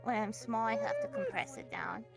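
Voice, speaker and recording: Woman as timid rabbit fae girl, high creaky voice, apologetic, music playing behind. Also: wildly swooping pitch, normal speed. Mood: soft. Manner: soft-spoken, hesitant, apologetic. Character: small shy female rabbit fae who shifts forms. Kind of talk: voice chat roleplay.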